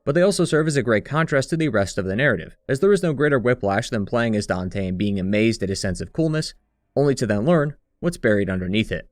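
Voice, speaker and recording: Male narrator for a long-form gaming video essay. Mid-pitched voice, scripted analytical read, very dry recording. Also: expressive, fast, dry recording. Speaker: narrator of a video game retrospective.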